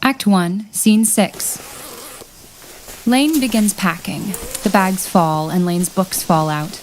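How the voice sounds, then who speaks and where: A woman's voice: medium pitch, slow, a little hiss or hum. A woman reading stage directions as the narrator of an audio drama, in scripted narration.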